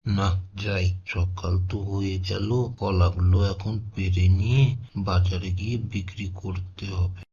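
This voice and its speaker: A man's voice: low-pitched, little pitch movement, unhurried, breathy edge, heavily acted. A man playing an earnest young villager and dutiful son in a children's cartoon. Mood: purposeful. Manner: earnest, talks to himself aloud. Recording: dull lo-fi sound, loud music.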